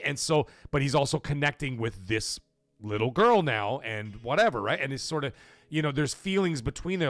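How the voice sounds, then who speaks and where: A man's voice: medium pitch, fairly bright tone, no room sound. A middle-aged man hosting a gaming livestream, talking casually and rambling, swearing freely as he goes.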